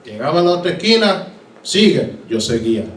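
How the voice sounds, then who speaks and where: A man's voice: a little high, sing-song, fairly quick, recorded from a distance, a little hiss or hum. A man from Puerto Rico with a Puerto Rican accent, a pastor giving his testimony from the pulpit, acting out voices and slipping into English. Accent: Puerto Rican Spanish. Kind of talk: sermon testimony. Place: church hall. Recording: echoey hall, voice from afar.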